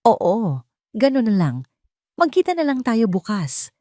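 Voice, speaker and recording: A woman's voice: on the high side, sing-song, a little slow, warm tone, no room sound, studio quality, voice pushed up. A woman voicing a cold, vengeful businessman who talks in curt, contemptuous commands in an audio drama. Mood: cold, irritated. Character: cold, vengeful, impatient businessman barking orders.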